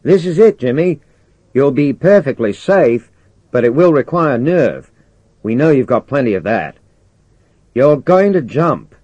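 A man playing a brisk, assured spaceship commander in an audiobook, persuasive and commanding. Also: medium pitch, very animated, a little mellow, no room sound, dull audio, voice pushed up. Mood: firm, reassuring. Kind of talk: audiobook character dialogue.

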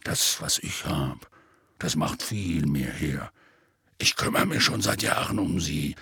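A man voicing a big, good-natured half-giant in an audiobook, with a gravelly, raspy voice and a hesitant, colloquial drawl. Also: very deep voice, expressive, slow, sharp tone, dry recording, clean studio sound, pitched below the performer's own voice, heavily acted. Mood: dismissive, proud. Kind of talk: audiobook character voice.

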